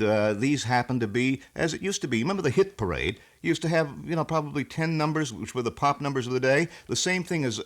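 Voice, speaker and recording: A middle-aged man explaining things in a measured, slightly formal way on a 1970s radio talk show. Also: medium pitch, a bit bright. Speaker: radio station host being interviewed. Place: radio studio. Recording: 1970s radio broadcast recording.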